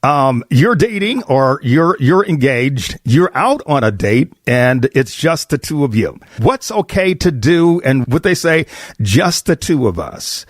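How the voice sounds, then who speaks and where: Guy hosting a church roundtable, animated pitch, dry sound, asking questions casually. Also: a little slow, no echo. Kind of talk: conversational interview.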